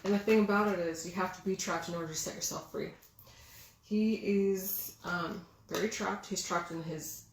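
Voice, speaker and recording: A woman giving a casual, excitable tarot reading, chatting and musing aloud with many fillers. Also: fairly low pitch. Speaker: tarot reader. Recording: roomy with faint background noise.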